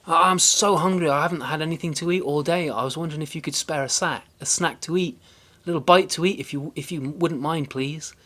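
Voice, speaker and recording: A man's voice: on the high side, fairly quick, bright, forceful tone, pitched above the performer's own voice. A man voicing a hungry young boy who pleads and stammers in a raised voice. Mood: pleading.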